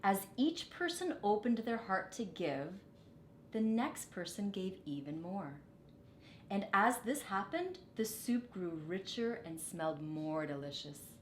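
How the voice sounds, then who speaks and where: Woman, children's story read-aloud, very lively intonation, expressive phrasing, echoey room, faint rumble. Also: measured pace. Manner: animated, dramatic phrasing for children. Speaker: storybook reader.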